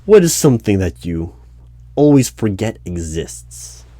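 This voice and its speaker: A man playing a dry, unflappable hiring manager in a one-sided ASMR roleplay job interview, asking measured, halting questions. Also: on the low side, very animated, warm tone. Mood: curious, deliberate. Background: computer keyboard typing and clicks.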